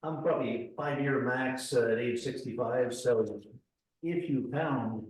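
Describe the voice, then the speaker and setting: A man of about sixty speaks at a public meeting, his voice set well back from the microphone and washed in heavy room echo. He talks in a halting, self-deprecating way, full of fillers and restarts, as the town treasurer reporting in. His pitch sits at an ordinary middle level for a man. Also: a little mellow, a little hiss or hum. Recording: very echoey room, voice far from mic.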